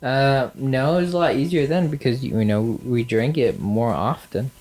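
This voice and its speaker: A man's voice: medium pitch, moderate pace, darkish tone. A man with a nasal voice co-hosting a podcast, chatting casually with lots of fillers and dry sarcasm. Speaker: podcast co-host. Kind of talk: casual chat.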